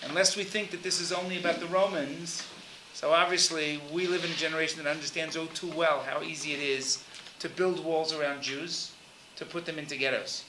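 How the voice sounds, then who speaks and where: A man's voice: on the high side, fairly even intonation, fairly quick, hardly any pauses, echoey room, noisy background. A man giving an earnest, informal introduction to a guest speaker for students, with frequent fillers.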